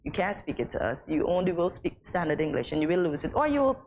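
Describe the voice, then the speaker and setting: A woman's voice: lowish voice, sing-song, fast talker, a little mellow, a little hiss or hum. A woman co-hosting a morning TV show, chatty and agreeable as she adds her own points. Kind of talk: casual studio chat. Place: TV studio.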